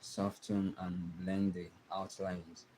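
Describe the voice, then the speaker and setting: A young man with a Nigerian accent, giving a live drawing tutorial and talking softly as he works. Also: sits low, level tone, slight background noise. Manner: slow, soft-spoken, trailing off while drawing. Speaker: art teacher streaming live.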